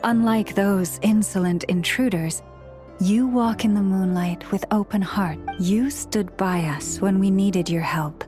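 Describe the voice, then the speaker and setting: An actress playing a calm, dignified young priestess in a game, speaking in a formal, gracious, measured manner. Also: female voice, medium pitch, over music, close to an everyday voice. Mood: solemn, sincere. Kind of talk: game voice acting.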